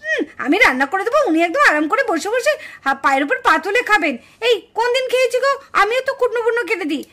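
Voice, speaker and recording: A woman's voice: very high voice, sing-song, fast, pushed and bright, heavily acted. A woman voicing a quarrelsome, indignant village daughter-in-law in a puppet cartoon family drama. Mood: angry, defiant. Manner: scolding, indignant, exclamatory.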